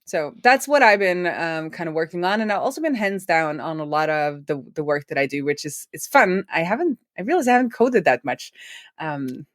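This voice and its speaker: A woman's voice: sits low, lively intonation, moderate pace, fairly bright tone, dry recording, clean studio sound. A woman with a head cold and a Norwegian first language, co-hosting a casual tech chat, rambling and laughing often. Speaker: co-host.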